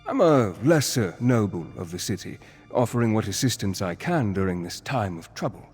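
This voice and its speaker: An actor playing a suave lesser noble in a fantasy video game, measured, articulate and wry as he shares gossip. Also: lowish voice, animated, darkish tone, husky, soft background music.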